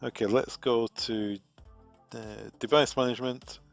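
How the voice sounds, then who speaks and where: Brief: British man, trainer doing a screen walkthrough, unhurried delivery, gravelly edge, faint music behind. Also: mid-range voice, muffled recording. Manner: relaxed, thinking aloud with fillers. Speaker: product trainer. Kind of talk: software configuration walkthrough.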